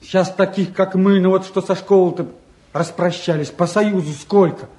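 A high-pitched young man fresh out of school, played by an actor in a radio play. He banters breezily and expressively, a glib, restless idler with a warm heart. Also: fairly even intonation, moderate pace, lightly acted. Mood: wistful, self-mocking. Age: young adult. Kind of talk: stage-trained radio play acting.

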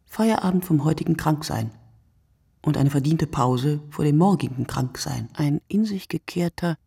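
A performer reading a literary radio play monologue in short, deliberate, reflective phrases. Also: medium pitch, vocal fry, mellow, husky, no room sound, clean studio sound.

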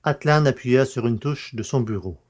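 A man narrates a science fiction audio drama in a scripted, literary style. His pitch sits in the middle range. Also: moderate pace, darkish tone.

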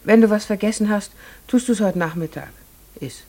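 Actress as a troubled, secretive farmer's wife in radio drama. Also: female voice, mid-range voice, moderate pace, dark tone, close to an everyday voice. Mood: worried. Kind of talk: German radio play acting.

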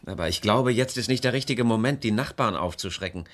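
A man's voice: fairly low pitch, brisk, a bit bright, close to an everyday voice. An actor playing a cautious, apprehensive man in a 1970s German crime radio play.

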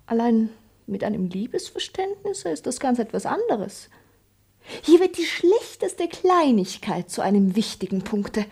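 Sly, high-voiced young maidservant. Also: female voice, sing-song, unhurried, darkish tone, slightly rough, exaggerated voice. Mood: coy, bargaining. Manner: teasing, theatrical, mock-formal. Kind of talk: classic German stage comedy as radio play.

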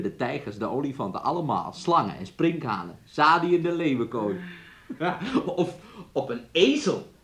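A man's voice: mid-range voice, slightly rough. An actor in a Dutch radio drama playing a volatile, taunting working-class man who swings between scorn and coaxing. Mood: coaxing, teasing.

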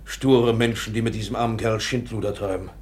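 An actor playing a protective, indignant gentleman in a radio drama, formal and emphatic, with a complaining manner. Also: lowish voice, steady tone, a little fast, lightly acted. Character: indignant, protective gentleman guardian, fussily polite. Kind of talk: German radio drama acting.

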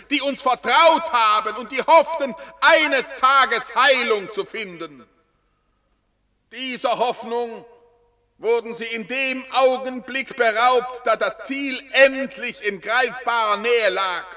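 An actor playing a demagogic prime minister giving a pompous, solemn memorial oration in a radio play, declaiming with rhetorical pauses in a reverberant hall. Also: male voice, very high-pitched, pushed and bright, exaggerated voice. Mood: solemn, mournful. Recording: long reverberant hall echo.

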